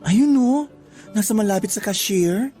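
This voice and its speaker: An actor plays a blunt, teasing female friend in a radio drama. The delivery is playful and drawn out, at a middling pitch, with music behind. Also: lively intonation, unhurried, warm tone, lightly acted. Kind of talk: Tagalog radio drama dialogue.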